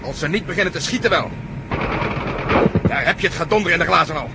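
Rapid-fire getaway driver. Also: male voice, fairly high pitch, expressive. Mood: tense, dry. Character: gruff, pragmatic male getaway driver. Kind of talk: Dutch radio play acting. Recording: very noisy radio play, car sound effects.

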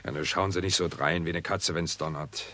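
An actor playing a shrewd, bossy investigator with an emphatic, wry manner in a 1960s German radio crime drama. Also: male voice, deep voice, steady tone, fairly quick, sharp tone.

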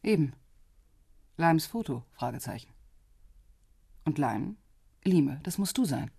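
A woman's voice: low voice, very animated, slow pace, gravelly, warm tone, breathy edge, no room sound, studio quality, exaggerated voice. An actress playing a self-assured, probing woman in a radio crime drama, speaking in a pointed, insistent way.

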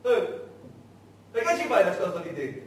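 A man's voice: high voice, sing-song, moderate pace. A man playing a menacing wartime interrogator who cajoles and threatens in a theatrical monologue. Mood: coaxing menace. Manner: cajoling, threatening, building to shouting. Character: menacing interrogator, falsely friendly then enraged, wartime soldier. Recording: very echoey stage, distant mic, faint noise.

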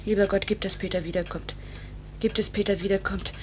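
An actress playing a pilot's anguished wife in an old radio drama, pleading earnestly with quiet bitterness. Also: female voice, mid-range voice, steady tone, close to an everyday voice. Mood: praying. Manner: earnest, repetitive, measured phrases. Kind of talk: 1950s German radio play acting. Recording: faintly noisy vintage radio recording.

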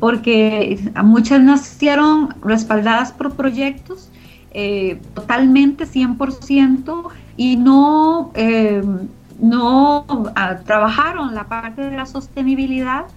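A woman being interviewed, explaining her organization's work in a conversational way. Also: high voice. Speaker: interview guest from a community media organization. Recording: dull lo-fi audio, music behind.

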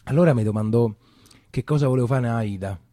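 An actor playing a Roman man who recounts his wartime boyhood in colloquial, halting theatrical storytelling with repeated phrases. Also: mid-range voice, warm tone, no room sound, clean studio sound, natural voice. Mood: reminiscing. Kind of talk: theatrical first-person storytelling.

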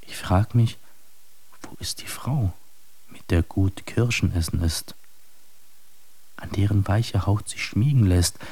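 Deep, mellow, troubled man. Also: slow, pauses often, husky, full character voice, poor audio. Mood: yearning, troubled. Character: weary, lonely man searching for redemption. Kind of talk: literary radio drama monologue.

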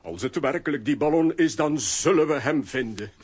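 An actor playing a kindly, soothing but fussy and easily exasperated friend in a children's radio play. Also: male voice, on the high side, lively intonation, normal speed, a little mellow, husky. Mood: consoling.

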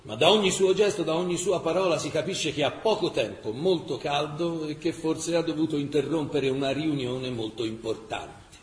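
A male actor playing a grave chronicler of a besieged wartime city in a radio drama, measured and emphatic with dramatic pauses. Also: a little high, close to an everyday voice. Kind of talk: dramatic scripted documentary narration. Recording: roomy, echoing radio theatre sound.

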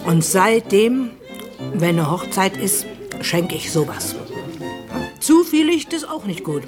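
Gossipy, rambling older widow. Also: female voice, medium pitch, sing-song, slow pace, over music. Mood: confiding, self-satisfied. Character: stingy, gossipy older widow, self-satisfied. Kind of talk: literary radio play acting.

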